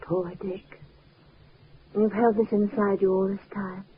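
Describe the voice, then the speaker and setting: A tinny, slightly noisy radio recording from the fifties with an actress as a well-bred young wife. Her mid-pitched voice is polite and emphatic, both proud and tender. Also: flat delivery, slow pace, natural voice. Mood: tender, subdued. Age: young adult. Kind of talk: 1950s radio drama acting.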